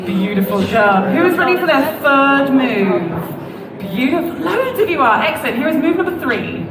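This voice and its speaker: A woman's voice: high-pitched, very animated, normal speed. Bubbly woman leading a crowd. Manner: bubbly, encouraging, playful. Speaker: event presenter. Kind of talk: live crowd instruction. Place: large indoor public venue. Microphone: amplified microphone. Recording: echoey PA sound from far off. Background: crowd noise.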